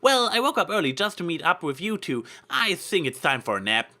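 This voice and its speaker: Man as a cocky young female storybook pony, boastful and teasing, lifted pitch. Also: sing-song, a little fast, pushed and bright, heavily acted. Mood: proud.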